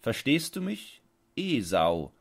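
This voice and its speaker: A domineering storybook dog owner, acted by a man reading aloud. He speaks condescendingly and insistently, raised well above the reader's usual pitch, in slow, swinging phrases full of command. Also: big pitch swings, creaky, full character voice.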